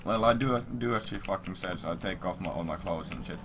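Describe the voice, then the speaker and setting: Very low-voiced man telling crude stories, noisy stream. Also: fairly quick. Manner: crude, swearing, rambling. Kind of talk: casual storytelling to stream viewers. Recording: noisy stream audio, keyboard sounds.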